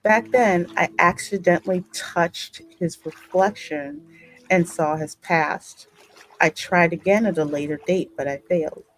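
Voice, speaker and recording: A woman's voice: lowish voice, unhurried, rough recording. A woman hosting a game stream, reading the story aloud expressively and reacting casually. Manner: expressive, dramatic read-aloud with casual exclamations. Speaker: gaming channel host. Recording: music behind the voice. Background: game music.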